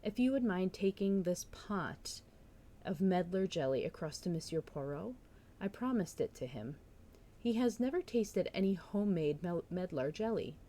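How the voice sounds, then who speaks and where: A woman voicing the nosy, insistent sister of a village doctor in a storybook reading, brisk and pressing. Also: on the low side, expressive, dark tone. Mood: innocently persuasive. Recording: noticeable background noise.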